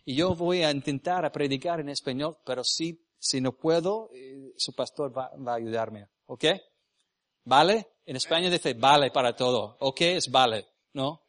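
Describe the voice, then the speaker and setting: A man born in Venezuela who grew up speaking English, a missionary preaching in Spanish with an American English accent, often halting to search for words and asking the congregation questions. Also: mid-range voice, fairly bright tone, no room sound, studio quality. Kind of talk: sermon with personal stories. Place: church.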